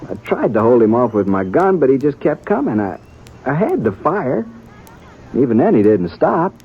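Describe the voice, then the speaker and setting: An actor playing a defensive, guilt-ridden young American frontier man in a 1950s radio Western, speaking in a rustic, halting, emotional way. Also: mid-range voice, sing-song, breathy edge. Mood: anguished confession. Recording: muffled old radio recording, faint music.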